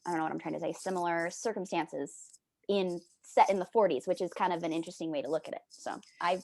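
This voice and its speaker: A woman's voice: on the low side, fast talker, vocal fry, a little mellow. A woman chatting casually. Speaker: cast member of an audio drama. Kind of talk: casual chat.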